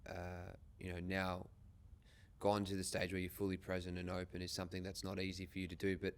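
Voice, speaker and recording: A man's voice: sits low, clear, resonant tone. A man with an Australian accent, hosting a podcast and interviewing in an informal, casual way. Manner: informal, occasional swearing.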